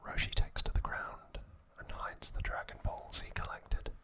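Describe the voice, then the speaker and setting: A man narrating trivia for ASMR in a soft, breathy whisper, reading deliberately in short broken phrases.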